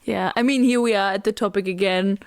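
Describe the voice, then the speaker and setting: A woman's voice: medium pitch, fairly even intonation, fairly quick, smooth. A woman co-hosting a casual book podcast, giggly and self-mocking as she gushes. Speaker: podcast co-host. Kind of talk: casual chat.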